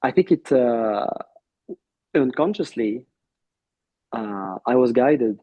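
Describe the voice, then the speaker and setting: A man from Belgium who first spoke Arabic, a guest in a casual interview, talking in a warm, reflective way with many restarts and fillers. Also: medium pitch, animated, slow, no echo, dull audio.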